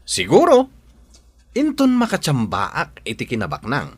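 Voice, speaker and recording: A man's voice: medium pitch, huge pitch swings, unhurried, husky, dry recording, heavily acted. A man acting in a comedic radio drama as a touchy, teasing village smoker, blustering in an Ilocano accent.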